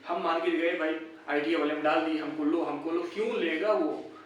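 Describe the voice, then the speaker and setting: A man's voice: fairly high pitch, fairly even intonation, normal speed, slight background noise. A man, giving informal, blunt, argumentative commentary as an online host, full of rhetorical questions. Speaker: YouTube exam-update host. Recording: echoey room, distant mic.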